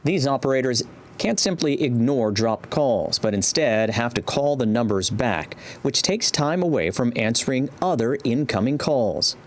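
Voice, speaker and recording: A man's voice: mid-range voice, expressive, normal speed. A man with an American accent reading scripted narration for a TV news report.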